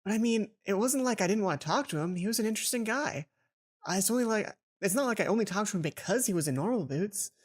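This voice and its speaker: A woman reading a visual novel aloud for a let's play, expressive, with casual asides of her own. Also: mid-range voice, fast talker, slightly rough. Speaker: let's player.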